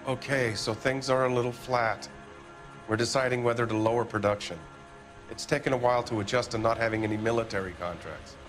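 An American actor playing a defensive, evasive middle-aged factory owner in a video game, with an indignant, blustering manner. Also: male voice, medium pitch, steady tone, fairly quick, a bit bright, faint music behind, close to an everyday voice.

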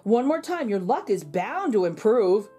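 A woman's voice: medium pitch, very animated, unhurried, mellow, breathy edge, faint music behind. A woman voicing a playful, sly storybook man with a breezy, teasing, mock-innocent manner. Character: playful, sly man who outwits goblins. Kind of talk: children's storybook reading.

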